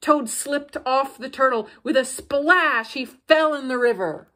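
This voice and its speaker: Female storyteller: high voice, very unhurried, expressive children's bedtime reading. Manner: deliberate, expressive, with dramatic pauses. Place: living room with brick fireplace, indoors.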